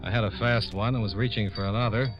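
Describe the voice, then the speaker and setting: Actor as hard-boiled investigator, muffled vintage radio. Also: male voice, fairly low pitch, fairly even intonation, fast, over music, lightly acted. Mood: wry, world-weary. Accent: American. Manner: clipped, dry, cynical. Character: hard-boiled, sardonic male insurance investigator. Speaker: lead actor and narrator in a radio detective drama. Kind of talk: 1950s radio noir acting and narration.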